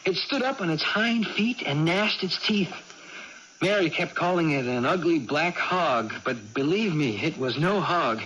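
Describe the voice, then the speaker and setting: An actress playing an earnest, excitable young person with an emphatic, awed way of speaking in a radio drama. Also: female voice, sits low, unhurried. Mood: amazed recounting. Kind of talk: 1980s radio drama acting. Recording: muffled, noisy old radio broadcast.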